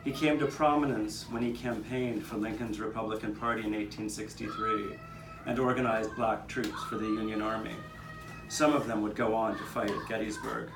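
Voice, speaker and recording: A man's voice: on the low side, level tone, normal speed, gravelly, music in the background. A man performing first-person historical storytelling as the narrator of a live puppet show, playing a neighborhood eyewitness. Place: outdoor courtyard performance. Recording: roomy, distant, noisy live courtyard recording.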